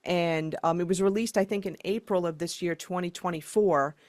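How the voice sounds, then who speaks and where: A woman's voice: fairly low pitch, normal speed, darkish tone, no echo. A woman hosting a podcast interview, talking in a rambling way with many fillers. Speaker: podcast host. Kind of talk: interview chat.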